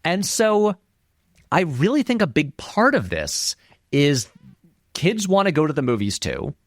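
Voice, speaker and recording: A man's voice: fairly high pitch, sing-song, a little slow, no room sound, studio quality. A man giving informal, discursive and emphatic commentary as an interview guest, in a conversational style. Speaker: interview guest, film critic and video essayist.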